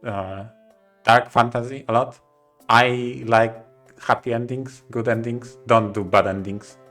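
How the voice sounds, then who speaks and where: A man co-hosting a podcast in casual chat, with a halting, hesitant way of talking. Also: mid-range voice, steady tone, fairly bright tone, soft background music. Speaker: podcast co-host.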